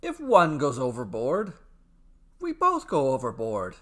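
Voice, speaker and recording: A man's voice: mid-range voice, huge pitch swings, a little slow, fairly bright tone. A man voicing a brave, carefree brother in a storybook tale, hearty, confident and declamatory. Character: brave, carefree, boisterous brother, ever-optimistic sailor. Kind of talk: dramatic storybook character acting.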